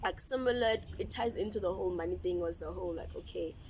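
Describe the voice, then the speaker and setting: A woman's voice: fairly low pitch, fast, a little mellow, slight background noise, poor audio. Joking young woman. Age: young adult. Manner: candid, joking, trailing off with "like". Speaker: host. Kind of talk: casual chat among friends.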